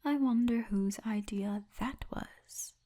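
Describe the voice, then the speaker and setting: A woman voicing a friendly female orc tour guide in an intimate ASMR roleplay, speaking softly and often breathily. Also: on the high side. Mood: amused, gossipy. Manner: soft, intimate, unhurried storytelling, often breathy. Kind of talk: ASMR roleplay, addressed to the listener.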